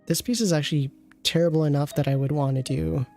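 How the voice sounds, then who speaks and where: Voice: mid-range voice, steady tone, fast, creaky, darkish tone, soft background music. A game streamer reviewing an account with casual commentary, thinking aloud and often trailing off mid-thought.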